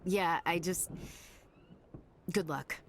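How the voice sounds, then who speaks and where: A tough young female marine in a video game. Her voice is low and she speaks bluntly, with wry, casual cheek. A little ambient noise is behind her. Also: drawn-out words. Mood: awkward concern.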